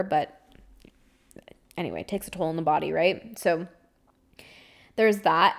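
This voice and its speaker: A woman in her twenties as a podcast host, telling a candid, chatty storytime monologue full of fillers and small laughs. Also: fairly low pitch. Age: 20s.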